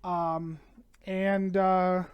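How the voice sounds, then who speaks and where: A young man narrates a tabletop game as game master into a headset mic. He talks haltingly and fills gaps with ums and uhs. His voice sits a bit high for a man. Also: flat delivery, clear, resonant tone, muffled recording. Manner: hesitant, many fillers.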